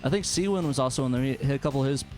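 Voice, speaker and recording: A man's voice: medium pitch, rapid-fire, darkish tone. A man hosting a casual game chat, talking in an informal, conversational way. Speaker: show host. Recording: music playing behind the voice. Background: music.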